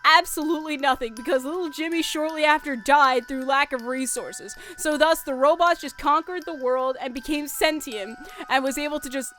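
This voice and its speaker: A woman's voice: high-pitched, moderate pace, a bit bright, soft background music. A woman voicing a comedic, sarcastic young dragon storyteller in an amateur audio drama. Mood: exasperated, ranting.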